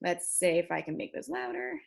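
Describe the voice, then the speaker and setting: A woman librarian reading picture books aloud to children, expressive and encouraging, often putting questions to her young listeners. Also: fairly low pitch, a little fast, vocal fry, slight background noise.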